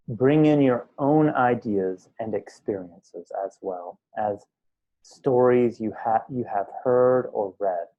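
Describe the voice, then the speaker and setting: A man teaching a guided meditation, speaking in unhurried short phrases with pauses between them. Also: medium pitch, phone-quality sound. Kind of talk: guided meditation, slow instructional speech.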